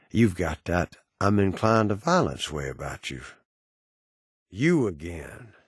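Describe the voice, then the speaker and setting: A man's voice: deep voice, husky, no echo, studio quality, heavily acted. A man playing a cynical, menacing undead bounty hunter in a video game, with a sardonic, folksy Southern American drawl. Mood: amused, sardonic. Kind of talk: video game character dialogue.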